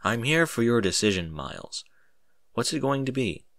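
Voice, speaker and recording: A man's voice: medium pitch, big pitch swings, moderate pace, vocal fry, a bit bright, no echo. A man voicing a cool, sly, proud army captain in a story, speaking in a matter-of-fact way. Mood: cool, pressing.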